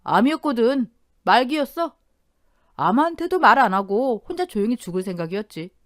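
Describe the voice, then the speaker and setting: A woman's voice: fairly high pitch, animated, moderate pace, a bit bright, dry recording, clean studio sound. A woman voicing a cruel older stepfather who mocks and taunts in a radio drama. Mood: cold sneering. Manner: mocking, taunting.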